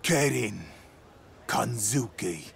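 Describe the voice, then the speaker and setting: An actor voicing a big, lazy, gluttonous punk brawler in a video game, grumbling bluntly in a British accent. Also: male voice, medium pitch, animated, very slow, gravelly, heavy background noise, full character voice. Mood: hesitant, wry.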